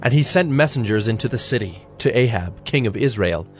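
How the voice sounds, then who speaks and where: A man narrating an audio Bible as a scripted, measured storyteller. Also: mid-range voice, animated. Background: music.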